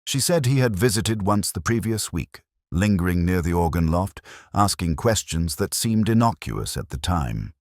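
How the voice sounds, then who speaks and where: A man narrates a literary detective mystery in a very deep voice. His delivery is measured and formal, yet the intonation moves up and down a good deal. The sound is dry, with almost no room to it. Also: animated, no echo. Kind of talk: scripted first-person detective mystery narration.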